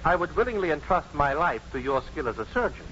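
An actor playing an indignant, pompous, biting professor in a 1950s radio comedy-drama. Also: male voice, fairly high pitch, sing-song, a little fast, sharp tone. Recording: muffled, noisy 1950s radio recording.

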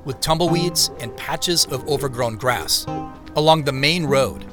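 An actor playing a wistful, imaginative man who narrates an audio drama in a measured, descriptive storytelling manner. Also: medium pitch, expressive, natural voice. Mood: wistful, reflective. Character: wistful, imaginative male narrator picturing the past. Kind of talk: reflective first-person audio drama narration. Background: music.

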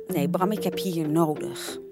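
A woman's voice: low voice, fairly even intonation, moderate pace, music in the background, close to an everyday voice. A woman playing a bossy, flustered head of a radio programme in a radio comedy. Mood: urgent, irritated.

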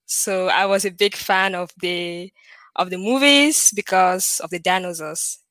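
Cameroonian woman, 30s, French-accented English, lively guest, dry recording. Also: lowish voice, a little slow, dry recording, low-quality recording. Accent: French-speaking Cameroonian. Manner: animated, laughs often, frequent fillers. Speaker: guest, computer vision researcher. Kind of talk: casual interview chat.

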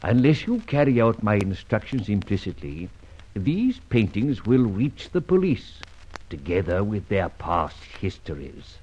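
An actor playing a suave, mocking masked gentleman adventurer in an old radio serial, polished and drily ironic, with courteous menace. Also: lowish voice, sing-song, unhurried, a little hiss or hum. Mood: smooth menace. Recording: muffled old radio drama recording.

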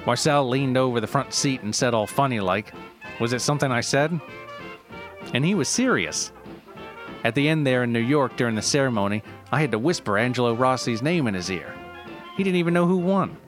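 A man's voice: medium pitch, animated, a little fast, faint music behind, lightly acted. A man playing an exasperated elderly race director, rambling and grumbling conversationally through a reminiscence in an acted interview. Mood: bitter recollection.

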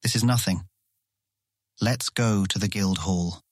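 A man's voice: low-pitched, measured pace, no room sound, studio quality. An actor playing an eager, proud young man showing a guest around in a fantasy audiobook. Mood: proud, friendly. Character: eager, proud young male guild guide. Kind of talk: full-cast audiobook acting.

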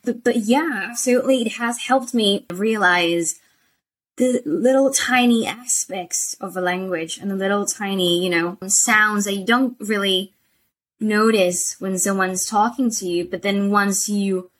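Spanish woman with soft American accent. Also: mid-range voice, measured pace. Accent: soft American English learned by a Spanish speaker. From Spain. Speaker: English teacher, guest. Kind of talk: casual interview chat.

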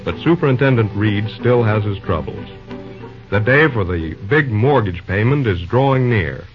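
Male serial narrator, lowish voice, vintage scripted radio delivery, dull old recording with music behind. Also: expressive, normal speed. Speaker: announcer and narrator.

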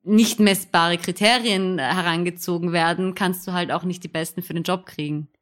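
A woman's voice: lowish voice, steady tone, normal speed, a bit bright. A woman hosting an interview podcast, asking long, thoughtful questions. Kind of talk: interview conversation.

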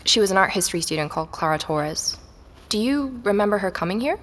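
An actress playing a curious, quietly persistent young woman visitor, speaking tentatively in naturalistic audio drama dialogue. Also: medium pitch, animated, fast, a little hiss or hum, close to an everyday voice. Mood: tentative, insistent. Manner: tentative, inquisitive. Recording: long hall-like reverb.

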